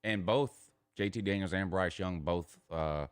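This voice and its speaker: A man's voice: low-pitched, measured pace, smooth, no echo, studio quality. A man with a Southern US accent hosting a casual sports banter show, emphatic and boastful, repeating himself and swearing freely. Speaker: morning show host.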